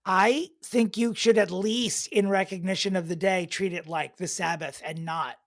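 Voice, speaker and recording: A man co-hosting a comedy podcast, making wry, teasing jokes in a deadpan way. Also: sits high, normal speed, dry recording, studio quality. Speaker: podcast co-host. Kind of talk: casual comedic chat.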